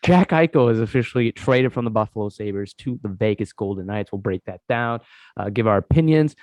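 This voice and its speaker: A man's voice: lowish voice, expressive, brisk, darkish tone, dry recording. A man hosting a casual sports podcast, congested from a cold, rambling with lots of fillers as he talks to his co-host. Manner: rambling, many "uh" fillers, keeps addressing co-host.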